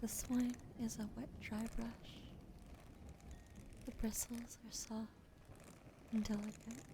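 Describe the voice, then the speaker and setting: A woman with a gravelly, whispery voice speaks close to the mic in a soft, unhurried ASMR roleplay. She chats gently with her viewers, and a clear hiss of background noise runs under everything she says. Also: on the high side. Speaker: ASMR streamer.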